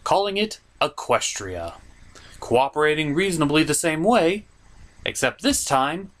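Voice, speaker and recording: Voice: mid-range voice, very animated, a little slow. A host delivering a comedic, scripted review with sarcastic, emphatic and sweary narration.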